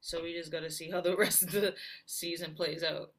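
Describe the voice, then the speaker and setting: A woman's voice: on the low side, flat delivery, brisk. A woman from Barbados, telling her story as a guest in a casual interview. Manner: chatty storyteller, many fillers and laughs. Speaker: guest singer-songwriter.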